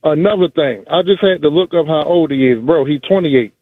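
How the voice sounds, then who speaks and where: A man's voice: a little high, brisk, no echo. A man in his forties calling into a sports talk show, blunt and emphatic, often saying bro. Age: 40s. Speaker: caller. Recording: dry tinny phone line.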